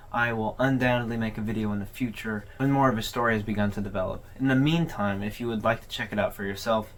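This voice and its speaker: A man's voice: medium pitch, fairly bright tone. Male video host, informal and conversational, mid-range voice, noticeable background noise. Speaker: host of a horror video overview channel. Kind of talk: casual video commentary.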